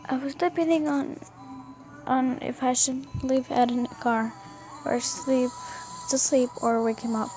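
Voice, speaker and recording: Halting, high-voiced woman reading a story. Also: fairly even intonation, warm tone, poor audio. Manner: halting, stumbles and rereads words. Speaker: amateur narrator reading fan fiction. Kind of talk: read-aloud first-person story narration. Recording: music behind the voice. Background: music.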